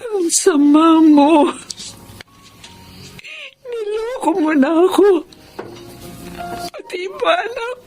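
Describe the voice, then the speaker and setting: Slow, high-voiced emotional mother. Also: expressive, exaggerated voice. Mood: anguished. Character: middle-aged mother, emotional, wronged in love. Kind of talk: Filipino radio drama acting. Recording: radio drama with music underneath.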